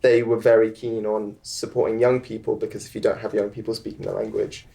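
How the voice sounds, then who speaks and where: Chatty young man, halting with ums. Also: lowish voice, little pitch movement, creaky, dark tone, poor audio. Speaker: filmmaker guest. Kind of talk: live panel conversation. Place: live event on stage.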